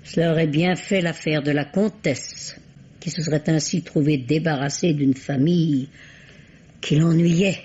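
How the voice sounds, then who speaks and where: A man's voice: on the high side, fairly even intonation, measured pace, darkish tone, slightly rough. An actor playing a shrewd, courteous male sleuth in a wry, urbane old French radio drama manner. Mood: explaining. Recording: dull old radio recording.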